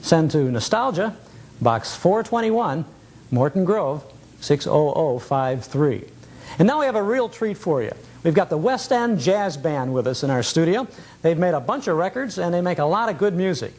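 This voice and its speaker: Measured, higher-voiced male TV host. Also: big pitch swings, a little mellow. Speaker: TV show host. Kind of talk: relaxed hosting and interviewing.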